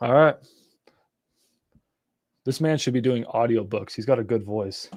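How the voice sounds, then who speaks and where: A young man hosting a live stream on real estate wholesaling, talking casually to his chat and getting excitable and exasperated at times. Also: mid-range voice, measured pace, no echo. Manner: excitable, swears when exasperated, talks to chat. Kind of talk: casual livestream teaching.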